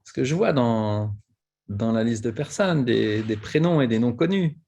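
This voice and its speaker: A man hosting a webinar over a video call, relaxed and easygoing as he invites questions. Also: medium pitch, normal speed. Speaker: webinar host. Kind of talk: moderating a webinar.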